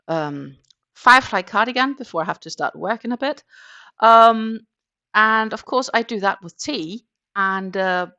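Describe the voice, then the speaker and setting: A woman's voice: medium pitch, pushed and bright. Chatty Danish woman on a livestream. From Denmark. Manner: chatty, rambling, many fillers. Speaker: crochet livestream host. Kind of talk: casual chat on a morning livestream.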